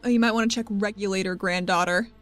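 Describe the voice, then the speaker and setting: A woman playing a tabletop game, playful and joking, quick to swear. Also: medium pitch, fairly even intonation, fairly bright tone, soft background music. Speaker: player. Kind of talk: casual tabletop play.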